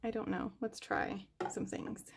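A woman's voice: medium pitch, flat delivery, unhurried, gravelly, very noisy. A young woman talking casually while tidying her nail polish desk at home, thinking aloud with fillers and hesitations. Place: home nail room, indoors. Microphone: overhead camera above desk.

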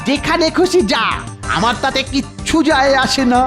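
A woman's voice: high voice, normal speed, close to an everyday voice. A woman voicing a sly, greedy male celestial bookkeeper in a cartoon, scheming and repeating words. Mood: dismissive. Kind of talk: children's cartoon voice acting. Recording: music behind the voice.

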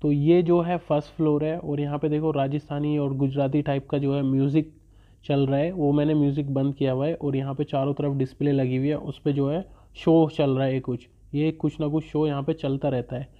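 Young guy vlogging, kinda high flat voice, thin phone-ish sound, lots of filler words. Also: fairly quick, narrow, tinny audio. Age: young adult. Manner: chatty, loose, repeats fillers like "jo hai". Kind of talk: casual vlog narration. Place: small room in front of a curtain, then walking through an expo.

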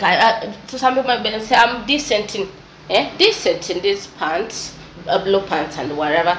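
A young adult woman with an East African English accent, giving an exasperated, preachy opinion commentary. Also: lowish voice. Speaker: vlogger. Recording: echoey room with faint noise.

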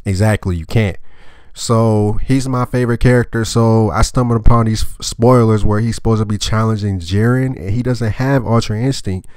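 A man's voice: on the low side, darkish tone, clear, resonant tone, dry recording, studio quality. A young man with a congested, nasal voice from a cold, ranting casually and profanely to his audience. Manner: blunt, profane, rambling with filler words. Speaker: anime review channel host. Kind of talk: casual vulgar rant to camera.

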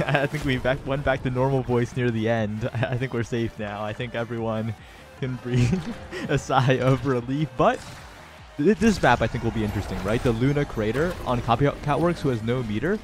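A man giving color commentary on an online esports tournament in a relaxed, chatty way. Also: mid-range voice, moderate pace. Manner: relaxed and chatty, laughs easily. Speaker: esports co-caster. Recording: faint game music behind.

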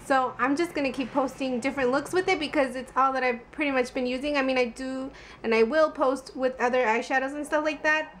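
A woman's voice: high voice, a bit bright, a little hiss or hum. A young woman in her twenties chatting casually to a handheld camera as a beauty vlogger, giggly and full of "like". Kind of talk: casual vlog chat. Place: car, home and stores. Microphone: handheld camera at arm's length.

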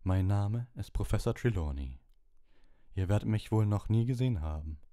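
An otherworldly woman teacher of fortune-telling from a storybook, played by a man. Her voice is soft and smoky, and she delivers mysterious pronouncements slowly, with airy solemnity. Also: fairly low pitch, expressive, dry recording, studio quality, voice pushed up, natural voice. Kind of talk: audiobook character voice.

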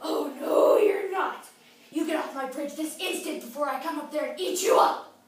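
Mean, hungry old troll played by a woman, very high-pitched, bossy bellowing, distant in an echoey room. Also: lively intonation, moderate pace, a bit bright. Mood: furious, threatening. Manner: rude, bossy, hollering. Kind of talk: children's fairy-tale play. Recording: echoey room, far from mic.

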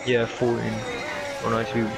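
A man's voice: medium pitch, monotone, a little fast, creaky. A young man, bantering and swearing casually with a friend while gaming. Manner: rambling, swears freely, teasing. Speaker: streamer. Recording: loud music playing behind.

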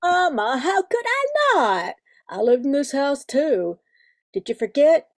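A woman's voice: sits high, sing-song, unhurried, heavily acted. An older woman acting a spirited, protective grown daughter in an amateur radio play, speaking emphatically. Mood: determined. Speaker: amateur radio drama performer.

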